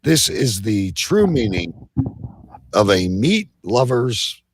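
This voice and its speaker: A man's voice: lowish voice, very animated, takes it slowly, breathy edge. A man hosting a live talk show, reading out odd news and bantering casually with crude jokes. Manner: crude, joking.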